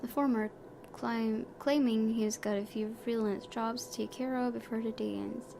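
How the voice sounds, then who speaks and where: A woman's voice: fairly high pitch, fairly even intonation, normal speed. Woman reading game story aloud, noisy recording. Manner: stumbles over words while reading. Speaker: let's play streamer. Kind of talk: reading visual novel narration aloud with casual commentary. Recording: clearly noisy home recording.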